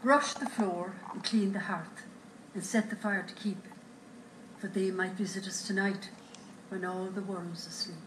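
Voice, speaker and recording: A woman recites a poem at a slow, steady pace with a mid-range voice. The theatre gives her words a roomy echo. A faint hiss sits beneath the recording. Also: medium pitch. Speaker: poetry reader. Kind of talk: reading a poem aloud. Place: theatre stage. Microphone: far camera in the audience.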